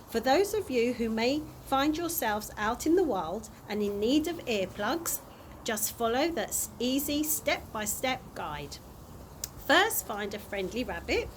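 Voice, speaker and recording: A woman reading a storybook aloud to children in an animated way, with occasional small stumbles. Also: a little high, low-quality recording. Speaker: early years practitioner reading to children. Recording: audible background noise.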